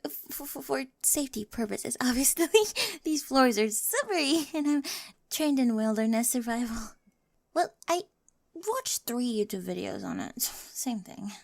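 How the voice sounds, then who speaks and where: Woman acting a high-voiced, awkward, eager teenage girl. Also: expressive, measured pace, creaky, no room sound, studio quality. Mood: flustered, giddy. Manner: nervous rambling, stammering, self-deprecating. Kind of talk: ASMR roleplay acting, addressed to the listener. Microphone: close microphone, dry.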